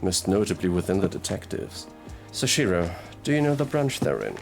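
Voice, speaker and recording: A man playing a gruff, sardonic detective in an audio roleplay drama, drawling and murmuring through his pauses. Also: low voice, very animated, full character voice. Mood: pondering. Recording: music behind the voice.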